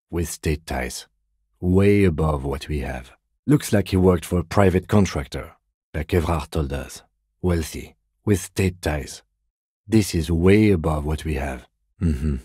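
A man voicing a dry, dutiful police lieutenant detective in a video game, speaking in a measured, formal, deadpan way. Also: a bass voice, husky, no echo, full character voice. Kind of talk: scripted video game dialogue.

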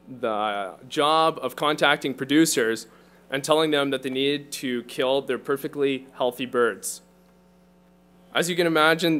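Young adult man, lectern speaker, earnest and steady read from notes, conference hall mic. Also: medium pitch. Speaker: conference speaker, poultry farmer. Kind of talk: prepared talk read from notes. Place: large conference hall, indoors. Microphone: lectern microphone.

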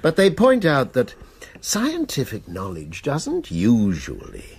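A man's voice: medium pitch, wildly swooping pitch, slow, gravelly, a little hiss or hum, full character voice. An actor playing an urbane man heading a college, diplomatically arguing his case in a radio drama. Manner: diplomatic, persuasive. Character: urbane male college master, persuasive. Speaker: head of a college.

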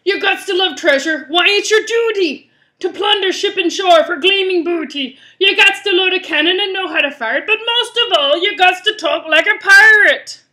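A woman's voice: very high voice, moderate pace, pushed and bright, voice pushed up. A woman voicing a cranky, blustery male pirate captain who bellows in pirate slang for a storybook. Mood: gruffly demanding. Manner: bellowing, rhyming pirate slang with bad grammar.

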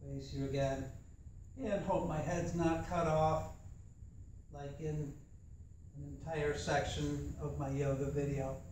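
A young man teaching a tai chi class in a dojo while he demonstrates, talking in a relaxed, thoughtful way. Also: a little high, takes it slowly, pauses often, slight background noise. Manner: relaxed, thoughtful, chatty with fillers. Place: large empty dojo with mat floor. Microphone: far camera. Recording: echoey room from a distance.

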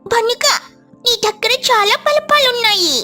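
Casting: tiny schoolgirl sparrow, squeaky and bright, greedy chatterbox, children's cartoon with music behind. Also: normal speed. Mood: greedy, pleading. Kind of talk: children's cartoon story dialogue.

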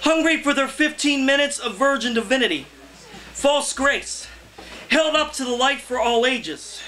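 A young man, a poet, reading his verse aloud live in a loud, theatrical, impassioned delivery. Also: extremely high pitch, animated, unhurried, faint music behind, poor audio. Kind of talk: live poetry reading, declaimed. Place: indoor cafe reading before a small audience.

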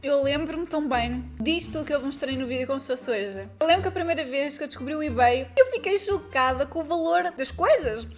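A woman's voice: sits high, expressive, brisk, hardly any pauses, faint music behind. A young woman with a European Portuguese accent, reacting to her own old video with teasing, self-mocking asides. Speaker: beauty vlogger. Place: indoor studio with pink backdrop.

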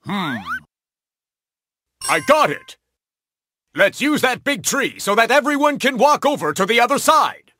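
A man's voice: very high-pitched, big pitch swings, measured pace, long pauses, sharp tone, full character voice. A man voicing a proud, bold big cat who would be king in a children's cartoon, with a boastful, emphatic delivery. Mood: thoughtful, eager.

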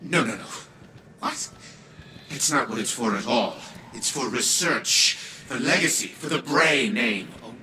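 An actor playing a proud, cold old patriarch turned giant AI in a video game, pompous, theatrical and condescending. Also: male voice, lowish voice, expressive, measured pace, background noise. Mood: indignant. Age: older. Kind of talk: dramatic video game acting.

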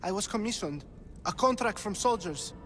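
High-voiced, cowardly, pleading artist. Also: male voice, expressive, moderate pace, music in the background. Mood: frightened pleading. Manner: pleading, fearful. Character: cowardly, pleading poor male graffiti artist. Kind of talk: video game cutscene acting.

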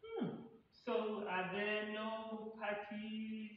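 A woman's voice: mid-range voice, completely flat delivery, very slow. A woman in her thirties playing a polite, curious customer in an acted promotional skit, recorded indoors from across the room. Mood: interested. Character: curious, polite woman making small talk with receptionist. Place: indoors, tiled front room. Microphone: distant camera or phone across the room. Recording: very echoey room, distant dull mic, noise.

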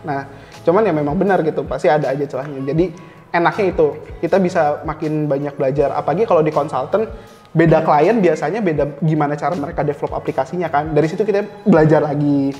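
A young man with a fairly high voice talks informally as an interview guest, sketching examples as he explains. The room is clearly echoey, with music playing underneath. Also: quick pace, darkish tone, highs cut off. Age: young adult. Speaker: interview guest, cyber security engineer. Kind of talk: casual explanatory interview chat. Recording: roomy echo, faint background music.

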